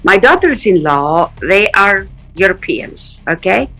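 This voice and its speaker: A middle-aged woman from Palestine telling stories in an interview, animated and often laughing. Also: mid-range voice, a little slow, faint music behind. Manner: animated, acts out quoted voices, laughs often. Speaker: interview guest. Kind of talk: casual storytelling in an interview.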